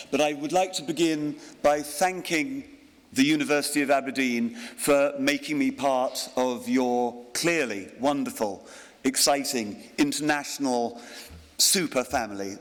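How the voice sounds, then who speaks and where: An older man gives a ceremonial address at a graduation. His tone is self-deprecating, with pauses and ums, and his voice sits at an ordinary pitch for a man. The podium mic carries a long reverb from the big hall. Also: fairly bright tone. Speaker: honorary graduate giving a speech.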